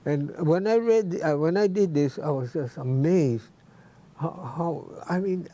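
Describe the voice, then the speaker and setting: Mellow, halting man in his nineties. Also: on the high side. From Hawaii, United States. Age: 90s. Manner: halting, searching for words, repeats himself. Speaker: retired history professor, interview guest. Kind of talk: casual interview talk.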